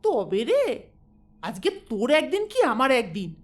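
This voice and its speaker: A woman's voice: high voice, huge pitch swings, takes it slowly, full character voice. A woman voicing a jealous first wife who scolds and hurls insults. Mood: furious.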